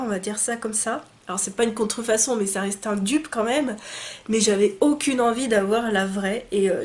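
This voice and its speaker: Chatty young woman with a cold. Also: a little high. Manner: chatty and enthusiastic, many fillers and restarts. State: stuffy nose from a cold. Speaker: beauty vlogger. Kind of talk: casual unboxing chat to camera.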